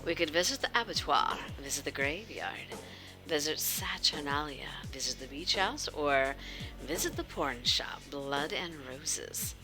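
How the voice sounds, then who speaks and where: A woman's voice: low voice, lively intonation, measured pace, music in the background. A woman hosting a livestream in casual, informal chat, addressing viewers directly and often asking them questions. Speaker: livestream host. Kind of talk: casual interactive game stream chat.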